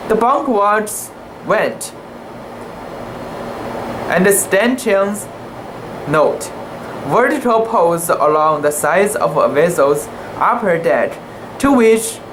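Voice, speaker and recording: An amateur narrator with a mid-pitched voice reads a literary sea story as a dramatic piece. The pace is slow and careful, with halting stumbles and imperfect pronunciation. A faint steady hum of room ambience sits behind the voice.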